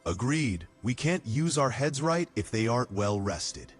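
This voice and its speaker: A man's voice: mid-range voice, sing-song, a little slow, slightly rough, faint music behind. A man playing a stern, dutiful castle knight who speaks formally in a fantasy audio drama. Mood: firm.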